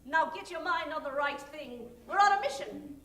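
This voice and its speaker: A woman's voice: high voice, normal speed, fairly bright tone, recorded from a distance. A woman voicing a bossy, ruthless princess in an improvised old-time radio comedy. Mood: imperious. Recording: roomy stage sound, off-mic.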